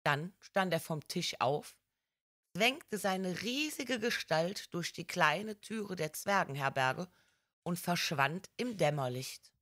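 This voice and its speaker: Female audiobook narrator: slightly low voice, slow and measured storytelling. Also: animated, a bit bright, dry recording, studio quality. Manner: measured, careful reading.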